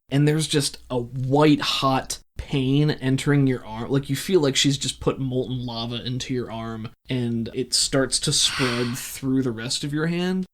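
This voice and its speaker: Game master voice, middle pitch, narrating a tabletop scene off the cuff, kinda halting and casual.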